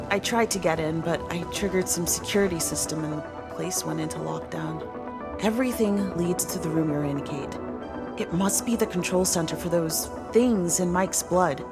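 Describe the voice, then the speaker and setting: A woman's voice: on the low side, natural voice. A woman playing a practical, loyal scientist crewmate in a video game, natural and direct. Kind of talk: video game cutscene acting.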